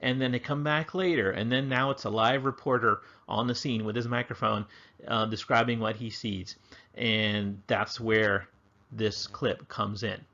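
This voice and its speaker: A man's voice: lowish voice, steady tone, unhurried, bright, forceful tone, dull audio. A man teaching history in an informal, chatty, enthusiastic online lesson style with slangy phrasing. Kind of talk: casual explanatory online lesson.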